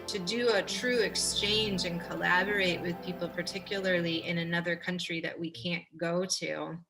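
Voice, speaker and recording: A woman's voice: fairly low pitch, fairly even intonation, normal speed, fairly bright tone, faint music behind, poor audio. A woman curator reflecting in an interview, hesitant and searching, with many fillers.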